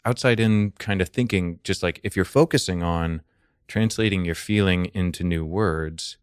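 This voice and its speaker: Casting: American male podcast guest, low voice, bookish and explanatory, conversational, no room echo. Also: moderate pace, clean tone, clean studio sound. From Texas, United States. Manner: thoughtful, wordy, erudite phrasing. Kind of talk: casual explanatory chat.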